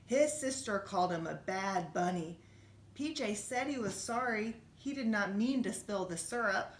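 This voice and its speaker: A woman reading a children's storybook aloud like a teacher, expressively, with gentle questions to her young listeners. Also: mid-range voice, expressive, unhurried. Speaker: schoolteacher reading a bedtime story. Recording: roomy, echoey room sound.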